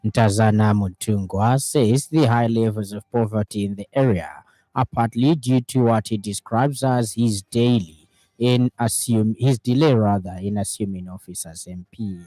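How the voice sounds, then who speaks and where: A man with a Zambian accent reading a scripted radio news bulletin in a formal, measured way. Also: lowish voice, dark tone, dry recording, low-quality recording.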